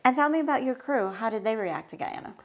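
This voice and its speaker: A woman's voice: a little high, expressive, quick pace. A woman hosting a talk show, asking a guest questions and encouraging him with short replies. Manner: encouraging, frequent backchannel. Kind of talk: interview questions.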